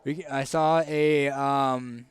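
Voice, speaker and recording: Streamer type, raspy and a bit hoarse, casual think-aloud game commentary, mid-pitched. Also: male voice, fairly even intonation, slow pace, no room sound. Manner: thinks aloud, hesitant fillers and restarts. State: hoarse, tickly throat.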